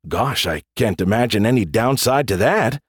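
A man's voice: lowish voice, expressive, normal speed, slightly rough, no echo, studio quality. A man voicing an irreverent, sarcastic military commander in an audiobook, with a casual, teasing way of talking. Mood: mocking. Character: wisecracking, irreverent male military commander of space pirates. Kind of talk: audiobook character dialogue.

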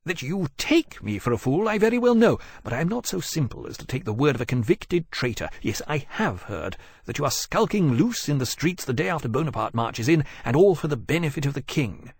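A man voicing a belligerent, half-drunk gentleman who speaks indignantly in a raised, clipped voice. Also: mid-range voice, sing-song, normal speed, no room sound. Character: belligerent tipsy suspicious gentleman. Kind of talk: audiobook character voice. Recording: dry close mic.

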